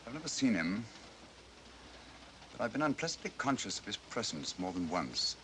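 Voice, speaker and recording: An actor playing a coolly analytical English detective, precise, articulate and dryly understated, in 1940s mystery film style. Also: male voice, lowish voice, big pitch swings, normal speed, sharp tone. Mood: grave, analytical. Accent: British. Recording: noisy old film soundtrack.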